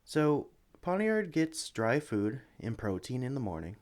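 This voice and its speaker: A man acts out an eager young male employee showing a newcomer around in an audio roleplay. His mid-pitched voice swings up and down freely, friendly and chatty, with an apologetic, hesitant edge. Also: sing-song, unhurried, a little mellow. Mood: instructive, friendly. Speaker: experienced employee showing a new recruit around. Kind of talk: ASMR roleplay, one-sided conversation with the listener.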